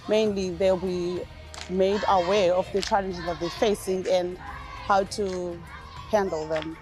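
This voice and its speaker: A woman's voice: fairly low pitch, fairly even intonation, slow, music in the background. A woman cricket coach speaking directly and plainly in a documentary interview.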